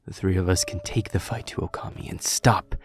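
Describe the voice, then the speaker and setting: A man playing an earnest, courteous exiled warrior king in an ASMR audio roleplay, with a hushed, hesitant delivery. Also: low-pitched, steady tone, fairly quick. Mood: grave. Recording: music under the voice.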